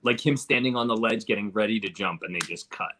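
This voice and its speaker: A man's voice: lowish voice, fast, bright, forceful tone, slight background noise. A man in a casual film discussion, rambling and thinking aloud as a podcast panelist.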